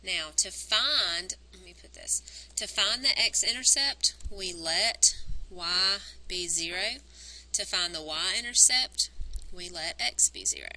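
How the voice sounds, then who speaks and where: A woman teacher recording a math lesson on a wired mic, explaining each step and counting points aloud, her voice worn and going hoarse. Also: fairly low pitch, expressive, takes it slowly, pushed and bright, low-quality recording. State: losing her voice. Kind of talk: recorded math lesson, working through graphs. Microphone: wired microphone on a cord.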